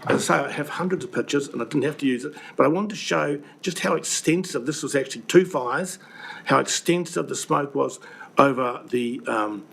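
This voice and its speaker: Croaky older New Zealand man, indignant. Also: mid-range voice, a bit bright. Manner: earnest and indignant, many ums and restarts. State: croaky from recent flu. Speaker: presenter addressing a council committee. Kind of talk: public submission to a committee. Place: council meeting room. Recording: webcast with faint room noise.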